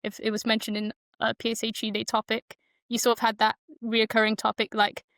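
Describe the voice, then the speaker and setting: A woman's voice: on the high side, completely flat delivery, fairly quick, a bit bright, no room sound, clean studio sound. A young woman from Malaysia who moved to the UK as a child, speaking as a podcast guest in a casual, reflective, loosely structured way. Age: young adult. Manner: informal, loosely structured, thinking aloud.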